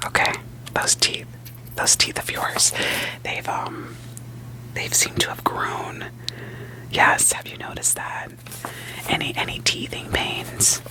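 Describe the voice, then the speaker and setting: A woman doing a soothing ASMR roleplay as a therapist, whispering gently probing questions in a playful way and repeating short phrases. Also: poor audio.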